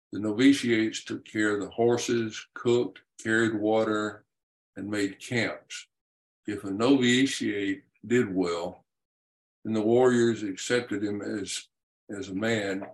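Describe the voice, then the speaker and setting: A man, an author and podcast guest, telling and explaining a historical story in a measured, deliberate way. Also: lowish voice, low-quality recording. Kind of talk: reading his own historical story and explaining it.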